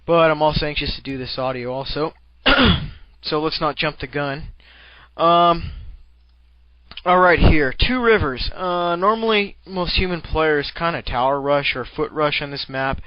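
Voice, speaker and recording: A man's voice: a little high, measured pace, no echo, highs cut off. A man giving casual, rambling commentary on a game replay, with profane, jokey slang.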